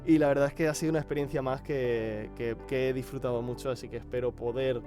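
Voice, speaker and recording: A man's voice: medium pitch, steady tone, fast talker, dark tone, faint music behind. A man giving a casual personal account as a voice actor. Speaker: amateur voice actor. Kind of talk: casual interview testimonial.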